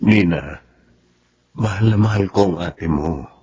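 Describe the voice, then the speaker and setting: A Filipino man playing a gentle, devoted young husband and father in a radio drama, speaking softly and deliberately. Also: sits low, slightly rough, full character voice. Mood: resigned, sincere.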